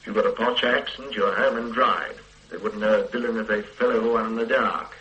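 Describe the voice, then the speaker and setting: An actor playing a stern middle-aged police boss who lectures and mocks a junior officer, in radio drama style. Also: male voice, lowish voice, steady tone, a little fast, bright, forceful tone, highs cut off. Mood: lecturing. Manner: lecturing, brusque, mocking. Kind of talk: 1980s radio drama acting.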